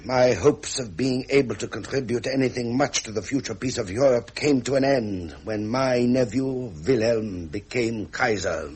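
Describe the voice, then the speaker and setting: An actor playing a frustrated, proud Prince of Wales near fifty, formal and imperious, with an upper-class English accent in a period drama. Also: male voice, medium pitch, normal speed, few pauses, darkish tone, husky, lightly acted. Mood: weary, frustrated. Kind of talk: period British broadcast drama acting.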